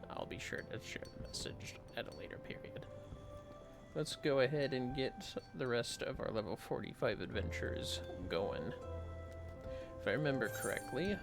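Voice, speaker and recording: A man hosting a game livestream, giving casual, rambling commentary in a soft, hushed voice. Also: on the low side, vocal fry. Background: music.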